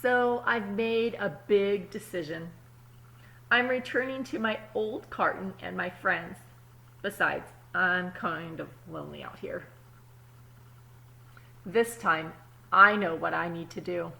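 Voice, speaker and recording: A woman, apparently a teacher, reads a picture book aloud to children expressively, pausing to explain words simply and check in with her young listeners. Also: on the low side, creaky, sharp tone, low-quality recording. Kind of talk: children's storybook read-aloud with asides.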